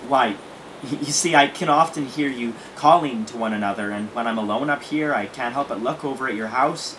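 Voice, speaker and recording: A man's voice: mid-range voice, fairly quick, a bit bright, a little hiss or hum, close to an everyday voice, poor audio. A man playing a lonely, polite and slightly hesitant teenage boy in an audio play. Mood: shy, wistful. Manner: hesitant, courteous.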